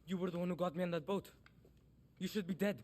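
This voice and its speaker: A man's voice: high-pitched, fairly even intonation, fast talker, a little mellow, slight background noise, close to an everyday voice. A young actor playing a frightened teenage boy, the son of a dictator, in a game cutscene, earnest and anxious. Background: game score music.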